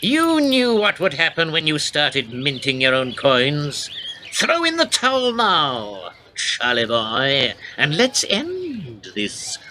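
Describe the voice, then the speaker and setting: Cocky general, slow and very swingy, bright and sharp. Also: male voice, on the high side, huge pitch swings, heavily acted. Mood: dismissive. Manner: dismissive, sarcastic, casual. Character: cocky sardonic military leader, male.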